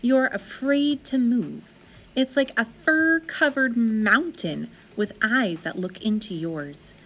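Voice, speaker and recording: A woman narrates a children's storybook with great expression. Her voice sits somewhat high and rises and falls widely, with slow, deliberate phrasing. Music plays behind her. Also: animated, a bit bright, slightly rough.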